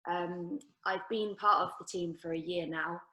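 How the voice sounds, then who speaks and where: A woman's voice: fairly low pitch, steady tone, measured pace, bright, forceful tone, rough recording. A young woman speaking as a panelist, describing her job casually with frequent ums. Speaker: production assistant, panelist. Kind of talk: career panel talk.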